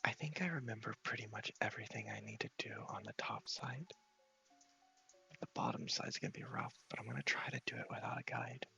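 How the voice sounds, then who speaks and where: Creaky low-voiced man doing ASMR commentary. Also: fairly quick, sharp tone, faint music behind. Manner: casual, thinking aloud. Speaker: game streamer. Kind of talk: ASMR gameplay commentary, often whispered.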